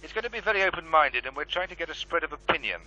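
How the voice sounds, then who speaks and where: An actor playing a brisk, persuasive, impatient paranormal radio producer in a horror radio drama. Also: male voice, fairly high pitch, expressive, sharp tone, dull audio.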